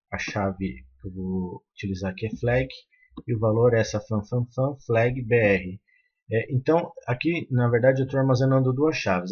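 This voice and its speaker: A man's voice: medium pitch, darkish tone, breathy edge. A man explaining code step by step in a screen-recorded tutorial, with many fillers and restarts. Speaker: programming tutor. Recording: muffled lo-fi sound.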